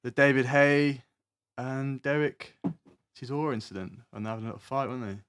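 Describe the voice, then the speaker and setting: A man's voice: mid-range voice, a little fast, fairly bright tone, clean tone. A young adult British man hosting a casual podcast chat. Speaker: podcast host.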